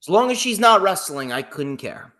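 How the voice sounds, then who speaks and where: A man's voice: sits high, very animated, moderate pace, pushed and bright. A young man co-hosting a casual wrestling podcast, swearing freely and arguing back with a laugh. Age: young adult. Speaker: podcast co-host and DJ.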